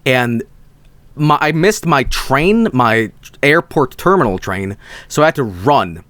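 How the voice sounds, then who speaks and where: A young man chats through a loose, rambling story, swearing freely and tripping over his own restarts. His pitch leaps up and down as he talks, and the sound is close-miked and dry with no room around it. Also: very animated, takes it slowly, fairly bright tone, dry recording. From Sweden. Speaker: streamer. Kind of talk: casual storytelling stream.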